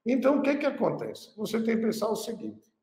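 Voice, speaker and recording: A man's voice: high voice, a little fast. A man with a Pernambuco accent, a chef talking casually to camera about selling dishes, stressing points by repeating phrases. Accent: Pernambuco Brazilian Portuguese. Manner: expressive, repeats phrases for emphasis. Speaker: chef giving cooking business advice. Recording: echoey room.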